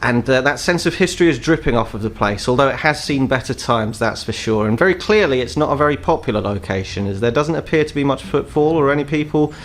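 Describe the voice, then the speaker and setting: A man's voice: medium pitch, expressive, fast, few pauses. A man narrating a tabletop game as game master, in a wry, descriptive storytelling manner.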